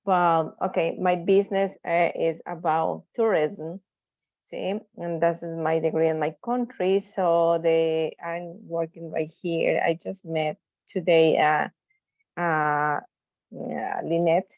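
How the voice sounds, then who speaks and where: A woman from Ecuador with an Ecuadorian Spanish accent, a native Spanish speaker introducing herself on a video call in halting English, stopping to search for words. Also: on the low side, little pitch movement, darkish tone, dry recording, highs cut off. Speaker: workshop participant.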